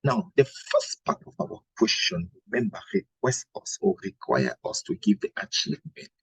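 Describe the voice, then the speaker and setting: A man teaching an exam-prep lesson in a deliberate, didactic way, posing questions and answering them himself, with a husky, gravelly voice. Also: mid-range voice, wildly swooping pitch, a bit bright, dry recording, rough recording. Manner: didactic, deliberate, checks with "right?" and rhetorical questions. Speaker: history teacher preparing exam candidates. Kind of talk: instructional exam-prep lecture.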